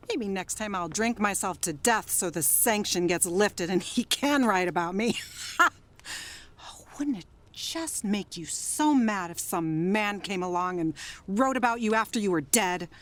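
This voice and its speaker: An actress playing Jerry, a troubled, wistful, newly sober wife in a 1930s radio drama. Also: female voice, medium pitch, unhurried, fairly bright tone, natural voice. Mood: chatty, wry.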